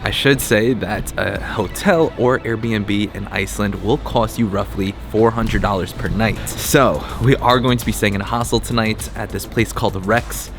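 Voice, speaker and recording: A man's voice: lowish voice, fairly even intonation, moderate pace, few pauses. A young man narrating a casual travel vlog in an upbeat, chatty way, with light jokes. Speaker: travel vlogger and interviewer. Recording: background music under voice.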